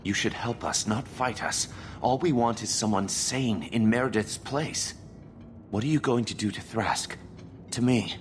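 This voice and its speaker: A man's voice: lowish voice, unhurried, slight background noise, natural voice. Man acting an anxious, earnest rebel conspirator. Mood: urgent persuasion. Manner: pleading, earnest. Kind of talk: dramatic video game acting.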